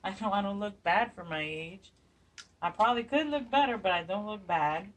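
A woman's voice: mid-range voice, expressive, normal speed, distant mic. A woman in her forties, chatty and upbeat with plenty of fillers, hosting a DIY craft tutorial in her home kitchen. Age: 40s. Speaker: craft channel host. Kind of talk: casual DIY tutorial chat. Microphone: phone camera a few feet away.

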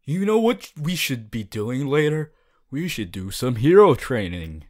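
A man playing a young, cheerful aspiring superhero student in an audio roleplay, earnest and goofy, with booming theatrical boasts and hesitant asides. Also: big pitch swings, unhurried, a little mellow. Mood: friendly, coaxing. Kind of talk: ASMR audio roleplay addressed to listener.

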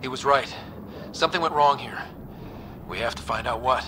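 A man playing an earnest, measured young space explorer leading a squad in a video game. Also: medium pitch, noisy background, natural voice. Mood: calm, resolute.

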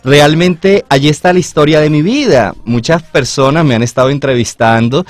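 A man from Colombia speaking earnestly in flowery, drawn-out phrases as a motivational guest in a radio interview. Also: on the high side, no echo. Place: small radio studio. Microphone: studio microphone on boom arm, headphones.

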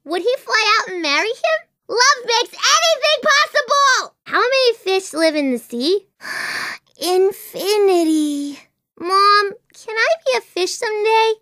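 Game role: chatty, dreamy little girl, very animated delivery, dry close recording. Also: very high voice, slow, dry recording, heavily acted. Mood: dreamy, inquisitive. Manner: whimsical, earnest, childlike wondering. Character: imaginative, chatty little girl, dreamy about unicorns and princesses. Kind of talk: animated video game character voice acting.

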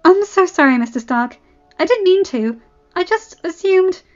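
Woman voicing a flustered, high-pitched school receptionist. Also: fairly quick, highs cut off, lightly acted. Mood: apologetic. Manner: stammering, apologetic, polite. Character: flustered, nervous, eager female school receptionist. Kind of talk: fan fiction audiobook character voice. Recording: band-limited audio with background music.

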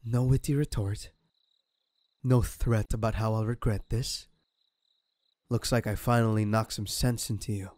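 Man playing a slow, low-voiced gloating villain. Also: steady tone, a little mellow, no echo, close to an everyday voice. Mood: gloating menace. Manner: slow, mocking, menacing. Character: sadistic, gloating male crime boss taunting a captive. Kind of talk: ASMR audio roleplay acting.

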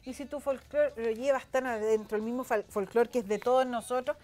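High-pitched Chilean woman hosting a chat show. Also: steady tone, fairly quick, a little mellow. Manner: effusive, exclaiming. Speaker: television host. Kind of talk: chatty talk show interviewing. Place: small television studio.

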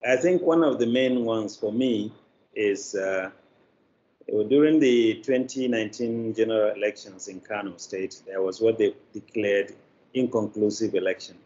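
An older man with a Nigerian accent telling anecdotes in an interview, choosing his phrases in a deliberate, halting way. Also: on the low side, a little mellow. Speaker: media producer, interview guest. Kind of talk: interview answer, anecdotal storytelling. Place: indoor room, on a video call. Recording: slightly dull video-call audio.

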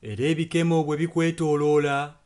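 A man's voice: on the high side, flat delivery, a little fast, background noise. A man interpreting a sermon, following the preacher phrase by phrase. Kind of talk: spoken and sung translation of a sermon.

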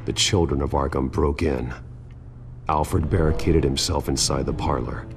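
An American actor playing a grim, determined vigilante detective in a video game, speaking tersely and deliberately. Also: male voice, very deep voice, fairly even intonation, music in the background. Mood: grim, focused.

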